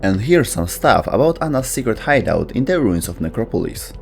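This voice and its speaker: Lively-pitched man narrating game lore. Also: fairly quick, gravelly. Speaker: video narrator. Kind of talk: scripted lore explainer narration. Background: music.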